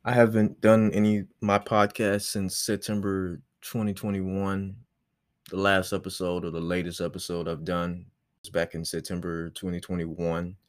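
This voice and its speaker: A twenty-year-old man hosting his solo podcast, rambling in a relaxed way with lots of fillers. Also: low-pitched, normal speed, no room sound, clean studio sound. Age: 20s. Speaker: podcast host.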